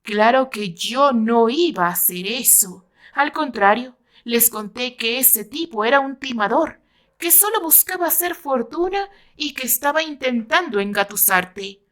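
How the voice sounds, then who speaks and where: A woman voicing an obsessed, bitter gentleman, high-pitched. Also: lively intonation, a little slow. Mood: bitter confession. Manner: dramatic, anguished, accusing. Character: obsessed, bitter gentleman confessing to dark deeds. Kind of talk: dramatic audiobook acting.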